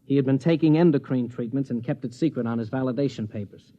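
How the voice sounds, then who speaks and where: An actor playing a devoted but stubborn rocket pilot husband in 1950s American radio drama style. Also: male voice, medium pitch, quick pace, dark tone, close to an everyday voice. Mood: earnest, explanatory. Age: adult. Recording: muffled vintage 1950s radio recording.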